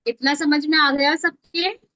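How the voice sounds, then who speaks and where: A woman's voice: sits high, fairly even intonation, measured pace, sharp tone, dry recording. A woman with an Indian accent teaching an informal step-by-step online tutorial in a conversational way, often checking that learners follow. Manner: conversational, repeatedly checks understanding. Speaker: video editing teacher. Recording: dull, lo-fi online class audio.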